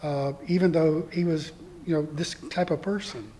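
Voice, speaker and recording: A man guest on a theatre podcast with a soft voice, speaking hesitantly with many fillers. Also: a little high, fairly even intonation, moderate pace. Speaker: guest, community theatre actor. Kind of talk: casual interview.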